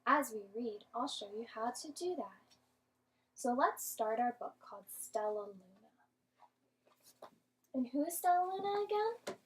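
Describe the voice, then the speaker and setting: A woman teaching young children through an interactive read-aloud, speaking in clear instructional phrases. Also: on the high side, lively intonation, a little slow, long pauses, a little hiss or hum, rough recording. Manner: clear instructional phrasing, questioning, sounding out syllables. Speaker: primary school teacher.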